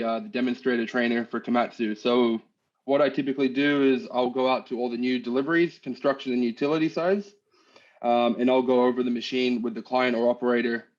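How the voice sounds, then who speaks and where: A man born in Australia who picked up an American accent, talking easily on a call and often pausing with ums while he describes his trade work. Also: medium pitch, lo-fi sound. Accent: American-tinged Australian. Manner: relaxed, hesitant with many ums and uhs. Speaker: guest, machine demonstrator and trainer. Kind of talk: casual interview over a call.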